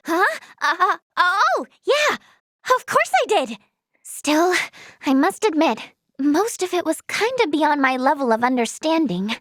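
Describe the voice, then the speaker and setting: A woman's voice: high voice, no echo, clean studio sound. A woman voicing a cheerful, earnest young female healer in a video game, girlish and easily flustered into stammering. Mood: sheepish, earnest. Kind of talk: animated video game voice acting.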